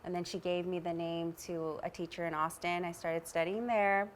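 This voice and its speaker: A woman from the Midwest who grew up in Texas, a guest actress telling stories in a casual interview, animated and quick to laugh. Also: fairly low pitch, slight background noise. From Midwest US, raised in Texas.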